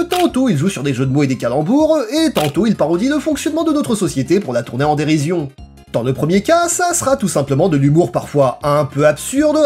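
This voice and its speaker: A narrator delivering a scripted video essay in an expressive, emphatic and colloquial way over background music. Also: mid-range voice.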